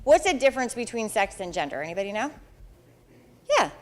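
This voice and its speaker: Animated woman instructor, big voice, on a handheld mic. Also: on the high side, a little fast. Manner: animated, chatty, acts out quoted reactions. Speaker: psychology instructor and Pride Center coordinator. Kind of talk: classroom presentation. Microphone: handheld microphone.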